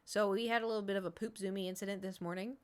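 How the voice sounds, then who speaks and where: A woman in her thirties talks as a livestream host in an informal, off-the-cuff way. She rambles through her thoughts in casual chat, doubles back on phrases for emphasis and swears freely. Her pitch sits in an ordinary middle range for a woman. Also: fairly even intonation, racing, clean tone. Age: 30s.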